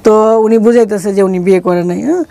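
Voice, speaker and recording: A woman's voice: medium pitch, fast talker, creaky, dark tone. A middle-aged woman with a Bangladeshi accent telling a personal story conversationally as an interview guest, speaking colloquially and acting out quoted dialogue.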